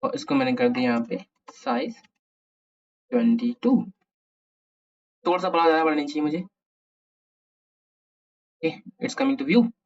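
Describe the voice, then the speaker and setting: A man's voice: a little high, big pitch swings, slow, long pauses. A man with an Indian accent giving a casual coding tutorial, thinking aloud playfully and mixing Hindi with English. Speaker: programming tutorial host. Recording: dull lo-fi microphone sound.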